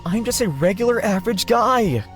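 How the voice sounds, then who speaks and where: A man's voice: high voice, lively intonation, normal speed, soft background music. An actor playing a male contestant in a visual novel, speaking in short interjections. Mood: dry, bemused.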